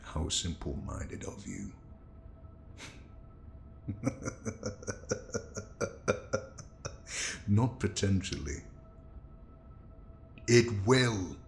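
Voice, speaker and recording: Slow gravelly villain played by a man. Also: sits low, expressive, a little mellow, faint music behind, heavily acted. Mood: smug, taunting. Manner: slow, menacing, deliberate. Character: cold fanatical male scientist villain bent on culling humanity. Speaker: voice actor. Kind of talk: audio drama monologue and dialogue.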